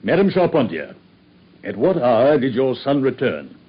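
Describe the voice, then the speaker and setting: A steady police inspector in a vintage radio play, probing a witness with patient questions. His husky voice moves lively up and down. The sound is dull and lo-fi. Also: mid-range voice, a little slow. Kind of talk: radio drama acting.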